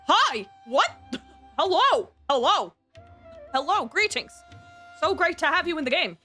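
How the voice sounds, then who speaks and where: A woman's voice: very high-pitched, big pitch swings, unhurried, bright, forceful tone, soft background music. A giggly, chatty woman hosting a game livestream, talking casually and breaking into exclamations. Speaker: game streamer, host.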